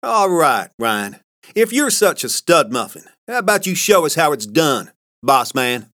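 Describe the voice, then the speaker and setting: A man voicing a brash male coworker who goads his boss, loud and pushy. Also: on the high side, sing-song, unhurried, pitched above the performer's own voice. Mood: goading. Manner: loud, goading.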